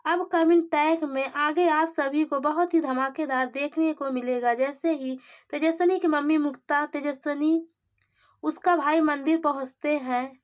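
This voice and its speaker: A woman narrates in a distinctly high voice. She reads scripted plot recap in a dramatic storytelling style, as a narrator of serial updates.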